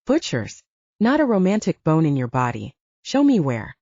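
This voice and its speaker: Woman voicing a brusque, mocking male tailor, husky. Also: sits low, huge pitch swings, normal speed, a little mellow, no room sound, lo-fi sound, full character voice.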